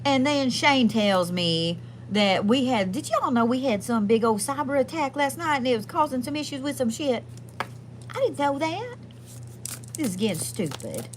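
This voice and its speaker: A woman's voice: high-pitched, very animated, moderate pace, a little hiss or hum, voice pushed up. A woman playing a sassy, foul-mouthed, folksy Southern woman with a twang, chatting casually as a livestream host. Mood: gossipy, sassy. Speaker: livestream host doing readings. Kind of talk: casual livestream chat in character.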